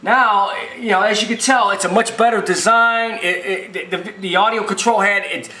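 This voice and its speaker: A man's voice: high-pitched, moderate pace, bright, forceful tone. A man giving an informal repair tutorial as a technician, rambling and repeating himself in a folksy, emphatic way. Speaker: video machine repair technician and presenter. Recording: roomy, echoing sound.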